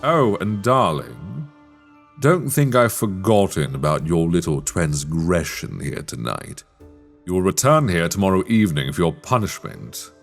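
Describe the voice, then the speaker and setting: Man playing a deep, sly sorcerer schoolmaster. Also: very animated, creaky, soft background music, heavily acted. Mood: teasing menace. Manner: theatrical, measured, wry, formal storybook phrasing. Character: sly, teasing magic academy master, mature man, dark fantasy. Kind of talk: intimate ASMR fantasy roleplay.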